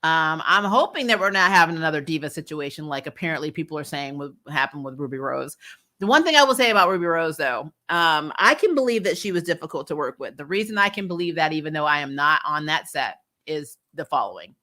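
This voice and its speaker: A woman's voice: deep voice, quick pace. A woman hosting a fan recap show, giving casual, opinionated TV commentary in a blunt, sweary way. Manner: blunt, sweary, animated mock-quoting.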